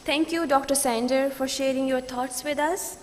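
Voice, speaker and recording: A woman host reading a formal, measured introduction of the next speaker. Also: high-pitched, fairly even intonation. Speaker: conference host. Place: large conference hall. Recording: hall reverb.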